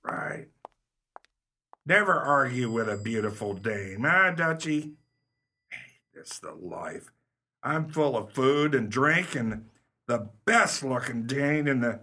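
A man's voice: medium pitch, lively intonation, slow, sharp tone, heavily acted. A man playing a flashy, cocky gambler in a 1930s pulp radio play, swaggering through slangy talk. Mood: smug, easygoing. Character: Maxie, flashy cocky big-spending gambler sweet on women.

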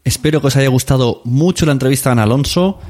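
A man's voice: mid-range voice, fast talker, a little mellow, faint music behind. A man hosting a podcast in a casual, playful, effusive way, chatting to listeners. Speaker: podcast host. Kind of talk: casual podcast talk, reading reviews.

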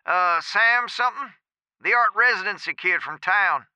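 Man putting on a high, sharp anxious phone caller. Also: moderate pace, clear, resonant tone, no room sound. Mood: urgent. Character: anxious rural man phoning in urgent news. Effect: old telephone receiver filter. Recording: dull, band-limited phone sound.

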